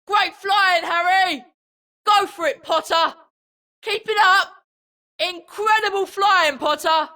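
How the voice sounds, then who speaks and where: A woman playing an excited young student calling out cheers in scripted video game lines. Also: extremely high pitch, takes it slowly, exaggerated voice. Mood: excited cheering.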